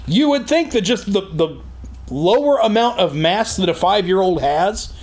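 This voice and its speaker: Casting: booming male co-host, sarcastic deadpan humor, slightly high pitch, studio. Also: measured pace. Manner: loud, sarcastic, deadpan jokes. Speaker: co-host, political commentator. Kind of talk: casual chat. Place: recording studio.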